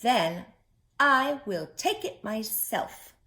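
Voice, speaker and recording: Slow, determined little hen played by a woman. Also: a little high, lively intonation, sharp tone, full character voice. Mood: earnest, determined. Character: industrious, self-reliant little red hen, female. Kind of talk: storybook character voice.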